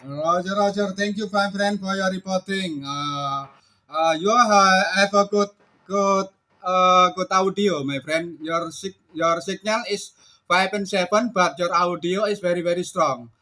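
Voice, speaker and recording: Indonesian male ham operator, bright high voice, halting and polite, repeats himself. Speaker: amateur radio operator. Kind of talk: amateur radio contact, casual exchange.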